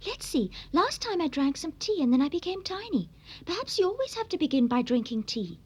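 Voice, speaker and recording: A woman voicing a curious, polite little girl in a cartoon, with a proper, expressive and dramatic manner. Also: very high-pitched, brisk. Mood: curious, cheerful. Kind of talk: animated cartoon dub acting.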